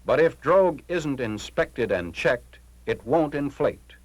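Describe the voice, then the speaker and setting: A man's voice: medium pitch, expressive, slow, no room sound. An American man narrating a 1940s military training film in a formal, clipped, instructional manner. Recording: noisy 1940s film soundtrack, engine-like hum.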